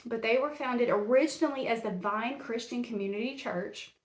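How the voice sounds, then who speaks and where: A woman's voice: fairly high pitch, moderate pace, reverberant, rough recording. A woman with a Middle Tennessee Southern accent hosting a true crime podcast in a folksy, rambling, self-deprecating way, full of fillers. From Tennessee, USA. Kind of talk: casual chatty podcast. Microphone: camera and mic.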